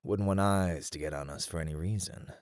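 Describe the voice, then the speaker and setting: A man's voice: low-pitched, brisk, dry recording, studio quality, close to an everyday voice. A man playing a charming, mischievous, flirty mafia boss in a teasing, theatrical ASMR roleplay. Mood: scheming, easygoing. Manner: teasing, theatrical, smooth-talking.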